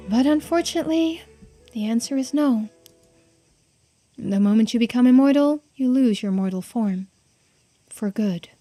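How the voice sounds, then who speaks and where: A woman voicing a stern, all-knowing authority on immortality in a visual novel game, with formal, deliberate pronouncements. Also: fairly high pitch, mellow, lightly acted.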